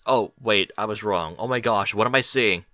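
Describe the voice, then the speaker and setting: A man's voice: on the low side, moderate pace, pushed and bright, dry recording, studio quality. A man voicing a doubtful, easily shocked young male pony in a story, hesitant and incredulous. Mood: astonished.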